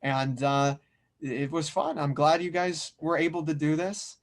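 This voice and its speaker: A man's voice: fairly high pitch, steady tone, a little slow. A man who narrates and directs an amateur audio drama table read.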